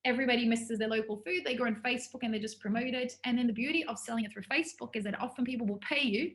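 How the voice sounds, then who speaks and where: A woman's voice: a little high, racing. A woman giving an informal business workshop to students, talking in a conversational, enthusiastic way.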